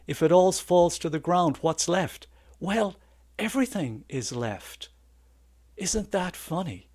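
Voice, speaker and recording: An older man giving a reflective Dharma talk as a Zen teacher, halting and contemplative with thoughtful pauses. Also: fairly high pitch, darkish tone, no echo, clean studio sound. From Ireland. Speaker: Zen senior assistant teacher.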